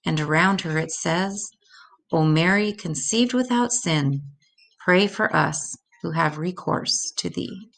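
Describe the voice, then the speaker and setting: An older woman reads a children's picture book aloud as a storyteller. Her voice sits slightly low for a woman. She takes the verse very slowly, phrasing each line deliberately for young listeners. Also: creaky, fairly bright tone, faint music behind, low-quality recording. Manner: measured, deliberate read-aloud delivery. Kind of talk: scripted children's story reading in rhymed verse.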